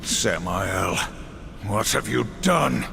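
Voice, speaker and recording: A man's voice: on the low side, animated, extremely slow, creaky, a crowd in the background, exaggerated voice. An actor playing a grim, vengeful horseman warrior in a video game, solemn and commanding. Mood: accusing. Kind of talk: theatrical video game acting. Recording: echoing, with loud music behind.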